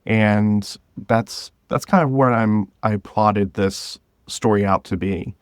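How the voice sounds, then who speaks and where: A man speaking as a podcast guest, explaining things informally and pausing to gather his thoughts. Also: on the low side, clear, resonant tone, dry recording, studio quality. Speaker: podcast guest, drama creator. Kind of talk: conversational interview.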